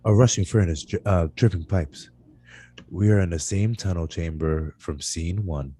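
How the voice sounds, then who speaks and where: A man narrating screenplay stage directions at a live table read, with a husky voice and occasional stumbles that he corrects. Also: sits low, moderate pace, warm tone. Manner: stumbles over words and corrects himself.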